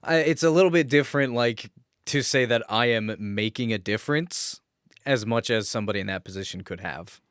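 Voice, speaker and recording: A man's voice: mid-range voice, brisk, a bit bright, smooth, dry recording, clean studio sound. A man co-hosting a podcast, chatting casually in a wry, informal way with casual swearing. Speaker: podcast co-host. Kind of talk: casual chat.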